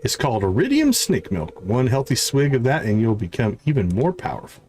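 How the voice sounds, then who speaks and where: A man voicing a mysterious, smug video game character in a measured, teasing, theatrical way. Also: mid-range voice, sing-song, a little mellow, slight background noise, natural voice. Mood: encouraging. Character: mysterious, smug male game character praising a kid adventurer. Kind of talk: reading video game dialogue aloud.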